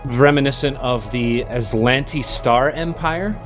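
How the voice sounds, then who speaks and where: Man running a tabletop game, mid-pitched and halting, soft music underneath. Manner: hesitant, many ums and uhs. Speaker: game master.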